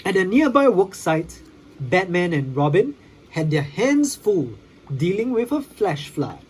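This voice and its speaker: A storybook reader giving a dramatic, expressive read-aloud for children. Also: medium pitch, unhurried, slightly rough, low-quality recording. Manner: dramatic, with sound effects.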